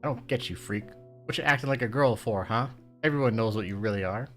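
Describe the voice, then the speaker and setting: A cruel gang-leader boy from a storybook, pitched high and shouting his taunts, as a man reads him. He sneers at everyone around him. Faint music plays under the reading. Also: fast, lightly acted. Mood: taunting.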